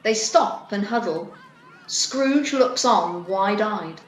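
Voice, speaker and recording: A woman narrating a scripted story in a deliberate storytelling manner. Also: medium pitch, animated. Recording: roomy echo.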